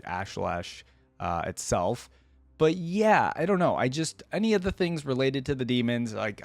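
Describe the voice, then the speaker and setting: A man's voice: mid-range voice, no room sound. A man hosting a casual reaction video, thinking aloud in an informal, rambling way. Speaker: YouTube reaction host.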